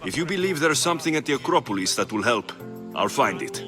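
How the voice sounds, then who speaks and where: An actor playing a young Greek mercenary hero in a video game, terse, serious and determined. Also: male voice, medium pitch, very animated, fast, music in the background, lightly acted. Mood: businesslike. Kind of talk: video game dialogue acting.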